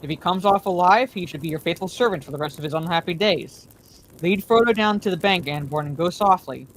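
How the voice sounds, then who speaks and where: A woman's voice: on the low side, brisk, a little hiss or hum, lightly acted, rough recording. A woman voicing a stern male captain giving commands. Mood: commanding.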